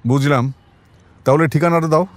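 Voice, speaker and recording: A man's voice: on the high side, steady tone, moderate pace, natural voice. A man playing a shrewd, commanding detective in an audio drama, speaking tersely with authority. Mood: probing, commanding. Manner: terse, authoritative, dryly probing.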